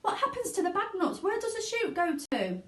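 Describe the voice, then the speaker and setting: A woman reading a children's storybook, voicing a frantic, overbearing rich mother in a shrill, screechy shriek. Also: sing-song, brisk, a bit bright, exaggerated voice. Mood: panicked. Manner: panicked shrieking with stammered repeats. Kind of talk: children's storybook read aloud. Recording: echoey room.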